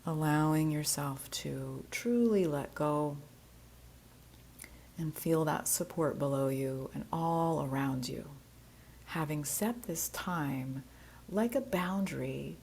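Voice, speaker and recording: Slow, low-pitched woman guiding relaxation. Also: vocal fry, slight background noise. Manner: soothing, gentle, unhurried instruction. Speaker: yoga nidra teacher. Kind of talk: guided relaxation meditation.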